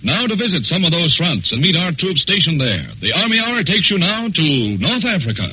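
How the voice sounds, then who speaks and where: A man's voice: fairly high pitch, sing-song, normal speed, bright, forceful tone, breathy edge, low-quality recording. A man with an American accent announcing a scripted wartime radio program in a formal, declamatory style of the 1940s. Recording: vintage 1940s radio broadcast with music.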